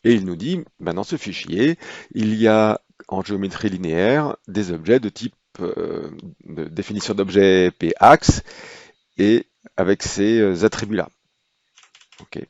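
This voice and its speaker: A man with a very low, gravelly voice explains a software procedure step by step, unhurried and often hesitating. The recording is dry and close, with a slightly dull, lo-fi sound. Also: dry recording. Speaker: software trainer. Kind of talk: screen-recorded software tutorial.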